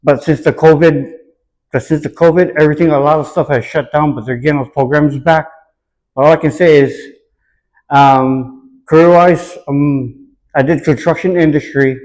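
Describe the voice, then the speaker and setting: Man, fairly high-set voice, earnest, deliberate, reflective interview answers. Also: fairly even intonation, a little mellow, low-quality recording. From Hawaii, United States. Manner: earnest, deliberate, repeats the question before answering.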